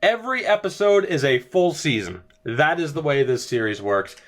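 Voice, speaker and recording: A young man giving jokey, excitable commentary over a hockey video game, his voice worn as he loses it. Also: a little high, moderate pace. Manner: excitable, jokey, laughs often. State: losing his voice. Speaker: gaming YouTuber. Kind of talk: casual gameplay commentary.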